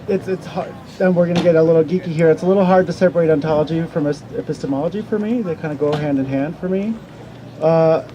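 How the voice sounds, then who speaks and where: A man speaking as an academic presenter, answering informally in a thoughtful, halting way and correcting himself. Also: on the high side, dark tone, highs cut off. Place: outdoor campground at an amphitheater. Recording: outdoor event with faint background noise.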